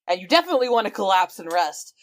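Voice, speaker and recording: A woman playing a tabletop game, casually describing her character in a lively way and swearing freely. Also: mid-range voice, fast talker. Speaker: player.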